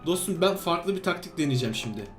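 A man's voice: a little high, animated, fast, music in the background. A man hosting a gaming video, giving exclamatory, bantering commentary to a co-player and often repeating instructions. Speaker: gaming video host playing a character named Steve. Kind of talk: excited game commentary with a co-player.

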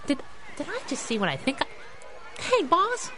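Plucky female sidekick, lively swooping intonation, teasing, brash, radio adventure, noisy background. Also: high-pitched, normal speed. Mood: excited, urgent. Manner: playful, brash. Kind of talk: 1930s-set radio superhero drama acting.

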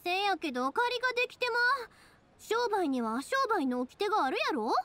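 A woman's voice: very high voice, normal speed, exaggerated voice. A woman voicing a cheerful, kindhearted young fireworks maker in an anime game, chatty and familiar, with a Kansai accent. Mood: flustered protesting. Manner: bubbly, familiar, expressive.

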